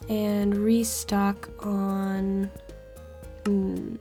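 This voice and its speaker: A woman with a stuffy, congested voice from a cold, chatting casually through her gameplay and laughing softly between thoughts. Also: mid-range voice, level tone, extremely slow, faint music behind. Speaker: let's play host.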